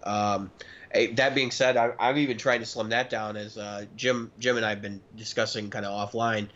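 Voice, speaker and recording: A man's voice: fairly low pitch, steady tone, normal speed, a bit bright, highs cut off. A man in his early thirties chatting casually and emphatically as a talkative podcast co-host. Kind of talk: casual chat about gear and recording.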